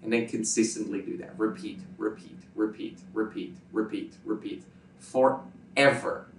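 Dutch man, native Dutch speaker, lowish voice, emphatic and repetitive, echoey room, off-mic. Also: a little slow, distant mic, a little hiss or hum. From Netherlands. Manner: emphatic, repeats points, checks agreement with "right?". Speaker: YouTuber guest. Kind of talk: casual interview answers. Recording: roomy sound from off-mic.

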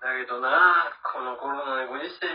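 A man's voice: medium pitch, quick pace, close to an everyday voice. A man playing an apologetic, whiny salaryman pleading with his mistress in an amateur radio drama. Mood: apologetic, complaining. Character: apologetic, henpecked salaryman cheating on his wife. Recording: muffled distant mic, faint noise.

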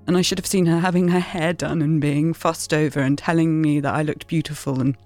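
An actress playing a wistful, anguished mother in an audio drama, speaking in a halting, emotional way. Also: deep voice, fairly even intonation, darkish tone, close to an everyday voice. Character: wistful, anguished mother reviewing her memories. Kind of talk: naturalistic audio drama acting. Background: music.

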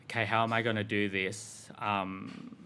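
An Australian man in his thirties talks through his answers in a bright, lower-pitched voice. He rambles loosely, leaning on filler words, in relaxed interview chat. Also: unhurried, a little hiss or hum. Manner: rambling, filler-heavy with frequent "like" and "um". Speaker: fashion designer guest.

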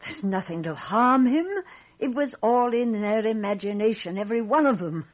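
A woman's voice: on the high side, expressive, moderate pace. An actress playing an imperious, defiant lady of a haunted house, cool and dismissive, in a radio horror drama. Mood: haughty defiance. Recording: muffled old radio drama recording.

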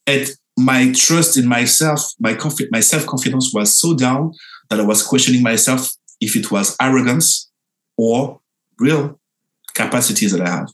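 A young man with a French accent, a dancer telling his story in an interview, animated and full of halting restarts. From France. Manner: animated, lively pitch jumps, halting restarts.

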